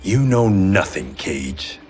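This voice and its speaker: A man's voice: low voice, very animated, takes it slowly, darkish tone, heavily acted. An actor playing a stern, proud swordsman of a fallen clan in a video game, terse and earnest. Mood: guarded. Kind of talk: video game cutscene acting.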